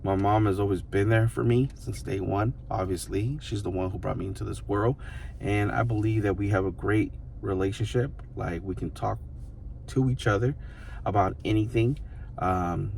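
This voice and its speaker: A man in his thirties with a very low voice talks straight to his viewers as a vlogger. He muses in a loose, rambling way and keeps leaning on fillers. A faint hum of room noise sits behind him. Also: gravelly, darkish tone. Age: 30s. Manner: rambling, loose, full of fillers such as "you know" and "like". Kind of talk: casual reflective monologue to the camera.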